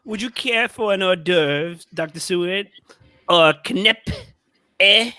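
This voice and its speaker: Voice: mid-range voice, measured pace, pushed and bright, no room sound, studio quality. A performer reading a deranged, wheedling asylum patient in a theatrical gothic horror play. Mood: sly, manic. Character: deranged asylum patient craving living creatures, wheedling and manic. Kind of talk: amateur script read-through of a gothic horror play.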